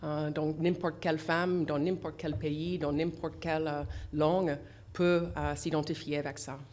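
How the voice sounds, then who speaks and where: A middle-aged woman with an English accent speaks French as her second language. Her voice sits very low, and her phrasing is halting, full of "euh" pauses and restarts. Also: little pitch movement, a little mellow, a little hiss or hum. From English-speaking country. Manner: halting, many fillers and restarts. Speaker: association founder, guest. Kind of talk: radio interview. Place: radio studio.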